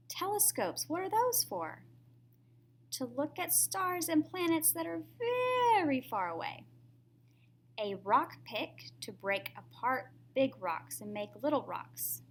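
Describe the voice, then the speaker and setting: A woman's voice: very high-pitched, big pitch swings, slow pace. A woman reading a picture book aloud to young children in a teacherly way, often putting questions to the listener.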